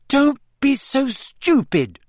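A man voicing a bossy, quick-tempered teenage schoolgirl in a storybook, protesting hotly and emphatically. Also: very high voice, sing-song, darkish tone, dry recording, studio quality, voice pushed up, heavily acted. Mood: indignant.

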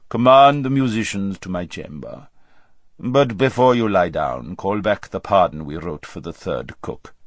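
A man's voice: sits low, lively intonation, no echo, studio quality. A man voicing an enormous, pompous tyrant king in an audiobook, cold and cunning, speaking in ornate, formal phrases. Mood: sleepy, imperious. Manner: ornate, formal, unhurried speech. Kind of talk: audiobook character acting.

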